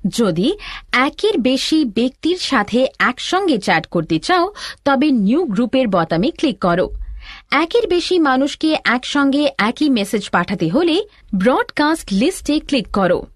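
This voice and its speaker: High-pitched woman narrating a tutorial. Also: very animated, measured pace, no echo, studio quality. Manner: instructional, addressing the learner directly. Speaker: instructor and narrator of an educational animation. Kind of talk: scripted step-by-step tutorial narration.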